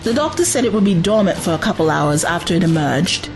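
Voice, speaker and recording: A woman's voice: on the low side, very animated, fast talker, music in the background. A woman acting an anxious, alert young adventurer's companion in an audio drama, urgent and exclamatory. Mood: wary.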